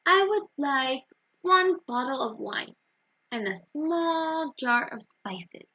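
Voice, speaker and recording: A woman's voice: sits high, lively intonation, takes it slowly, bright, forceful tone, dull audio, full character voice. A woman voicing a polite, earnest, forgetful little boy in a children's storybook.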